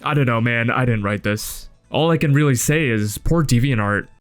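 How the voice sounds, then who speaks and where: A man's voice: medium pitch, moderate pace, clear, resonant tone. Blunt sardonic man over music. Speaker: video essay host reviewing a webcomic. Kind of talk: casual scripted commentary with swearing. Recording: music under the voice. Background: background music.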